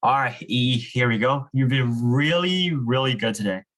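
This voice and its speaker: A man's voice: medium pitch, moderate pace, a bit bright. An encouraging, informal man leading a reading club, reading aloud and chatting with students. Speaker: reading club leader and coach.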